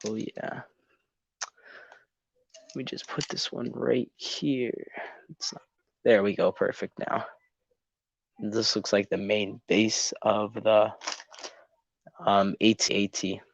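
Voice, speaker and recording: A teenage boy from Canada chats casually on a phone live stream, his nose still congested from a cold. His voice sits a little low and rambles with lots of ums, captured dry and close with a dull, lo-fi tone. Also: fairly even intonation, slow, gravelly, long pauses, no room sound. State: congested, a bit sick. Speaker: YouTube channel host. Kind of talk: casual live-stream chat while building.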